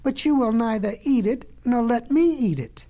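A patient, sensible work ox, voiced by a woman storyteller, reasoning in a calm, unhurried way. His reproach stays polite and measured, in a storybook tone. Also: a little high, voice pushed up.

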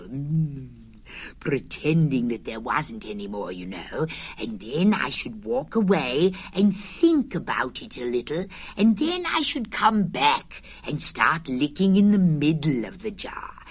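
A woman voicing a slow, honey-loving male teddy bear, talking low and dreamy and sometimes sinking to a whisper. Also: breathy edge, heavily acted.

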